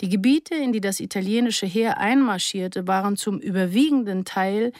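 A woman reading a reflective literary memoir aloud in a measured, articulate way. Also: mid-range voice, a little mellow, no echo, clean studio sound. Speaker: narrator reading a first-person memoir. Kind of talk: scripted literary narration, reflective essay read aloud.

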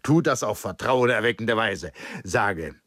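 A man's voice: fairly low pitch, lively intonation, measured pace, fairly bright tone, dry recording, studio quality. A man doing a domineering, wheedling stage magician. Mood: coaxing. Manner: wheedling, theatrical, insistent. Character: domineering male stage magician, coaxing and overbearing.

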